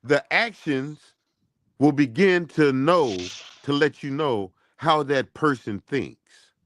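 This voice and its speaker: A man's voice: on the high side, a bit bright, slight background noise. A man hosting a morning talk show, chatting casually in a preachy, emphatic way. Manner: preachy, drawn-out emphatic delivery.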